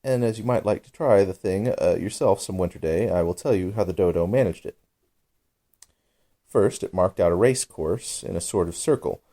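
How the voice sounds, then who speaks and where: A man's voice: sits low, moderate pace, mellow. A man narrating a children's bedtime story as a storybook reader.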